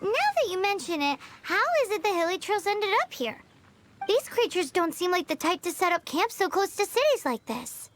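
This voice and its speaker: A woman voicing a tiny, cheeky, childlike fairy companion in a video game, squeaky and excitable, inquisitive and a little pouty. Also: normal speed, slight background noise. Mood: curious. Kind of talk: English video game voice acting. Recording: loud game music behind the voice.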